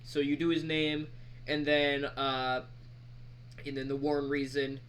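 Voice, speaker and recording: A man giving a casual screen-recorded software tutorial, talking in a halting, rambling, informal way. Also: a little high, monotone. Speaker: mod creator presenting tutorial. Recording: background noise, keyboard typing.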